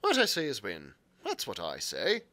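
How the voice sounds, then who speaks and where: A man voicing a surly, impatient male pirate coxswain with a gruff, growling delivery. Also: on the low side, wildly swooping pitch, normal speed, bright, forceful tone, pitched below the performer's own voice, heavily acted.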